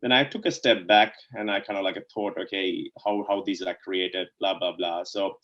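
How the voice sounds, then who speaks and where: A low-pitched man from Sri Lanka speaks as an interview guest, circling his points with "like, you know" and tagging them with "right?". The sound is a little muffled and lo-fi. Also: steady tone, moderate pace, a bit bright. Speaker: guest, DeFi co-founder. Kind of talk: casual interview answers.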